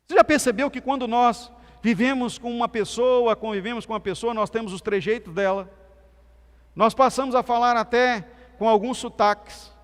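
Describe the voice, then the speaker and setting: A man from Minas Gerais with a softened Minas Gerais accent, preaching a sermon as pastor with emphatic swings between loud and soft delivery. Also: very high-pitched, moderate pace. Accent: Brazilian Portuguese, softened Minas Gerais. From Minas Gerais, Brazil. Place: church sanctuary. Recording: church hall reverb.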